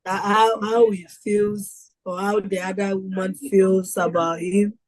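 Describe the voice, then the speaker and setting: A woman's voice: medium pitch, flat delivery, a little slow, no echo. A woman co-hosting a reaction show in casual chat, speaking in simple short phrases and repeating herself.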